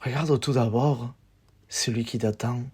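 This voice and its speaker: A man reciting spoken-word poetry in a measured, declamatory way, in short phrases set apart by pauses. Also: mid-range voice, steady tone, very noisy.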